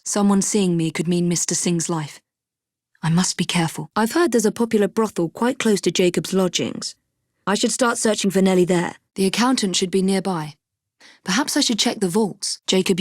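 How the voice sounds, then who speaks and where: An actress playing a poised, determined young assassin in a Victorian London video game, with an English accent and a crisp, politely commanding delivery. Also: female voice, mid-range voice, no room sound, clean studio sound, close to an everyday voice. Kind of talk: scripted game voice acting.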